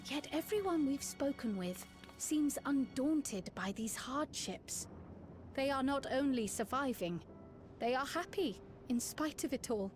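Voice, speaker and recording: A woman's voice: high voice, expressive, a little slow, darkish tone. A woman playing a precise, studious scholar in a fantasy game, articulate and formal in an academic way. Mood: reflective. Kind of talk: scripted game cutscene acting. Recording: clear music behind the voice. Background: music.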